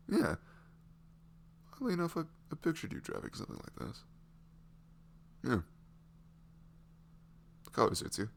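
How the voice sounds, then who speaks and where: A man playing a nervous, adoring boyfriend in an intimate ASMR roleplay, breathy, halting and awestruck. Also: fairly low pitch, a little hiss or hum, exaggerated voice. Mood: shy, fond. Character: nervous, adoring young boyfriend meeting online love.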